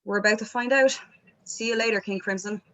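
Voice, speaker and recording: A woman's voice: mid-range voice, fairly quick, sharp tone, close to an everyday voice, low-quality recording. A woman playing a flustered, apologetic rock band manager in a script read, with a hesitant, wry manner. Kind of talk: informal script table read.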